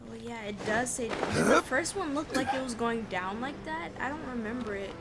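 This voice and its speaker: A woman's voice: a little high, expressive, moderate pace, heavy background noise. A woman streaming a game, thinking aloud in informal, muttered commentary to herself, with music behind her.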